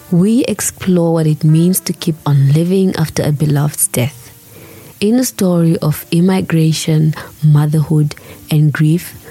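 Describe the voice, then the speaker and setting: A woman host reading scripted narration in a calm, measured way. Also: low-pitched, breathy edge. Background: faint music.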